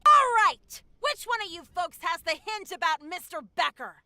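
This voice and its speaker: A woman's voice: extremely high pitch, sing-song, normal speed, bright, forceful tone, no echo, exaggerated voice. A woman voicing an assertive female cartoon character who questions a group in a bold, demanding manner. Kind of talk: animated character dialogue.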